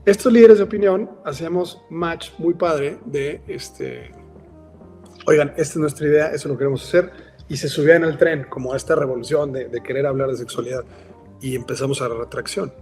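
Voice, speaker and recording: A man's voice: medium pitch, darkish tone. Mexican man chatting with filler words. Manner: rambling, frequent "este" fillers. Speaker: brand founder, guest. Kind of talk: casual podcast interview.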